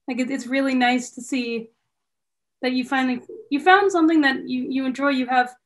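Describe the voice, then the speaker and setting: A woman's voice: sits high, fairly even intonation, a little fast. A woman hosting a casual interview, speaking in a tentative, conversational way. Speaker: interviewer, host.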